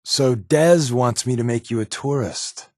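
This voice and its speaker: A man's voice: mid-range voice, measured pace, darkish tone, dry recording, studio quality, lightly acted. A man playing a sly, wry undercover resistance agent in a video game, talking in a casual, glib, sardonic way. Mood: persuasive, wry. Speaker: video game companion character. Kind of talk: scripted game dialogue, conversational.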